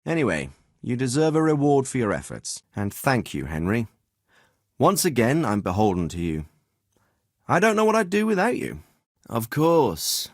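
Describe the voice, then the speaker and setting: Middle-aged noble commander, video game dialogue, expressive pitch swings, dry close sound, formal, fatherly authority. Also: no room sound. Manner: measured, formal, paternal.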